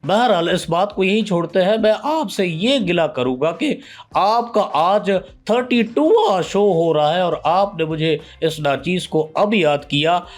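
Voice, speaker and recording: A man with a Pakistani Urdu accent playing a pompous, boastful veteran journalist guest in a comedy sketch, with deadpan boasts. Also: sits high, measured pace. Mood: mocking. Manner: deadpan boasts, breaks into sung lines.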